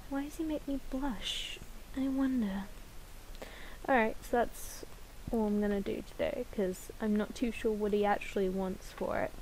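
A woman's voice: mid-range voice, dark tone, slight background noise, poor audio. A woman hosting her channel, casually introducing an amateur voice acting audition to viewers. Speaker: amateur voice actor and channel host.

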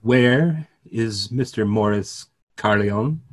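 A man playing a husky, wry clergyman. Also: on the low side, slow pace. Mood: ironic, arguing. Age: middle-aged. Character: earnest, gently ironic clergyman arguing his point. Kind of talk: remote script reading of a stage play. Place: small room at home, video call.

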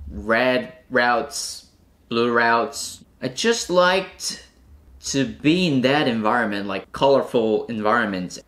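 A young Korean man with a Korean accent, answering viewer questions casually to camera in a small room, pausing to think with fillers. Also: mid-range voice. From South Korea. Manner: relaxed, thinking aloud with fillers. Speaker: climbing vlogger.

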